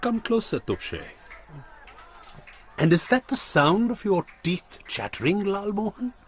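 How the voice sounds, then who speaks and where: An actor playing a smug, menacing crime boss in a radio drama, with an Indian accent, mock-courteous and taunting, pausing deliberately. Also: male voice, high voice, sing-song.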